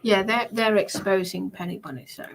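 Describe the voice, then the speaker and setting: A woman's voice: mid-range voice, fairly even intonation, normal speed, vocal fry, slight background noise, low-quality recording. A woman chatting casually as a livestream commentator. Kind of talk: casual chat.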